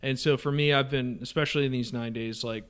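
A man's voice: medium pitch, steady tone, brisk, fairly bright tone, clean tone. Earnest young man answering interview questions. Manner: earnest, with many ums and you knows. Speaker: seminarian guest.

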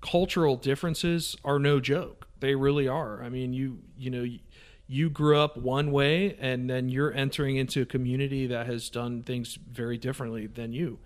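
A young man in an interview, talking earnestly at an ordinary pitch. He often pauses with um and you know while searching for words. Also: fairly even intonation. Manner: earnest, with many ums and you knows. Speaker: seminarian guest. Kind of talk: interview answers.

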